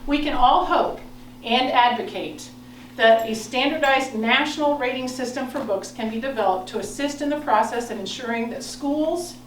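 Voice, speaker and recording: An American woman reading a prepared statement as a board president, in a formal, measured, firm manner. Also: high voice. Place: indoor public board meeting. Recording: echoey meeting room, distant mic, noise.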